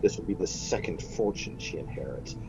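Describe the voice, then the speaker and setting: An actor playing a sardonic family doctor in a radio mystery, with a dry, measured manner. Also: male voice, deep voice, expressive, creaky, dull audio, over music. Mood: dry authority. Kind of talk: radio mystery drama acting.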